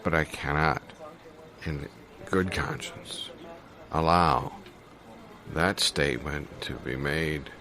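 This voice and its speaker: An actor playing a halting, formal doctor of theology who is pompous yet meekly interrupting, in improvised audio comedy. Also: male voice, a bass voice, pushed and bright, exaggerated voice. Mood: solemn, pompous.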